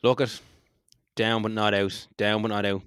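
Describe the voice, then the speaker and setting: A man's voice: lowish voice, flat delivery, normal speed, a bit bright, clean tone, dry recording, studio quality. A man with an Irish accent leading a casual role-play game on a podcast, sweary and enthusiastic. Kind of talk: casual tabletop-style game narration and banter.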